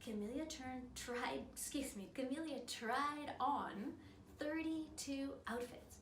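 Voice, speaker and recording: Female children's storyteller: high, very animated, unhurried, theatrical, roomy recording. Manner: theatrical, dramatic emphasis. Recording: echoey room, distant mic, clear noise.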